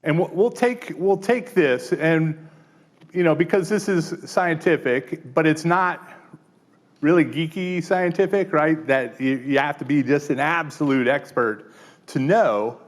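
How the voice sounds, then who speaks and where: A man presenting a live teaching workshop, talking casually with frequent fillers and quick "right?" check-ins to the room. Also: a little high. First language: English. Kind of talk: live tech workshop demo.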